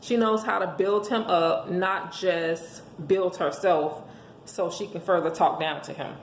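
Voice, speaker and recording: A hoarse woman giving an emphatic, preachy motivational monologue, speaking as a host handing out relationship advice to listeners. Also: fairly low pitch, fairly even intonation, unhurried. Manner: emphatic, preachy, rhetorical questions. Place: indoors at home. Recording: echoey room with faint background noise.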